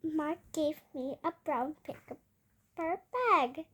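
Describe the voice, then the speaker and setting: A young girl reading a children's picture book aloud as a storytime host, halting word by word. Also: high voice, animated, sharp tone.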